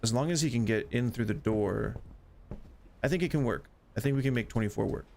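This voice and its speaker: Gravelly rambling man in his forties. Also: medium pitch, fairly quick, darkish tone, slight background noise. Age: 40s. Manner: rambling, self-deprecating, chatty. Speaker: gaming streamer. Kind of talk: casual gameplay chat.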